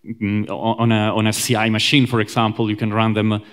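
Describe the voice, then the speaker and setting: A man with a Roman Italian accent giving a conference talk on software testing, halting often with fillers and restarts. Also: on the low side, monotone, clear, resonant tone. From Italy. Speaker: conference speaker.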